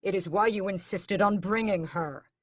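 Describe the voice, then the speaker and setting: A woman's voice: medium pitch, normal speed, no echo, clean studio sound, lightly acted. Woman voicing a furious vampire prince. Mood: angry. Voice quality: forceful, clipped. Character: angry young vampire prince, hot-tempered and defiant. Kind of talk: audiobook character voice.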